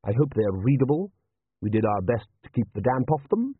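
A man voicing a calm, wily city ruler in an audiobook, measured and urbane, with drily polite understatement. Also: mid-range voice, husky, dry recording. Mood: smooth, courteous. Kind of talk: audiobook character voice. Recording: narrow-band, phone-like sound.

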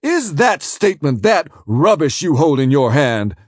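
A man doing a blustering male defence lawyer in an audiobook. He protests in slow, outraged bursts. The voice swings widely in pitch and has a husky edge. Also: very animated, a little mellow, no echo, studio quality. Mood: indignant.

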